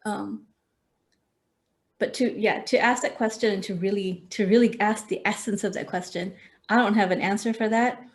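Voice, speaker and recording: Woman host from Malaysia, mid-pitched voice, hesitant and reflective, laughs often. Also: steady tone, moderate pace. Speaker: podcast host. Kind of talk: personal conversation.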